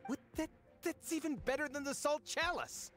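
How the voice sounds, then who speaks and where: A man's voice: very high-pitched, moderate pace, fairly bright tone. An actor playing a greedy, pompous, blustering man who sponsors a treasure hunt in an anime game, with a theatrical delivery. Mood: astonished. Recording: music behind the voice.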